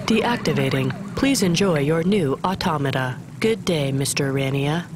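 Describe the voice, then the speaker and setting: A woman's voice: low voice, animated, a little slow, background noise. A woman voicing a polite, obtuse automated car assistant in a game, with formal customer-service delivery. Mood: polite, impassive. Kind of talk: scripted game dialogue.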